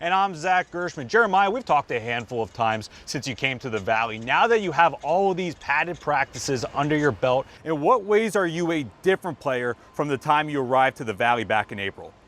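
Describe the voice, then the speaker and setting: A man's voice: on the high side, animated, fairly quick, few pauses, a bit bright. A man hosting a sports show, delivering prepared reports and interview questions.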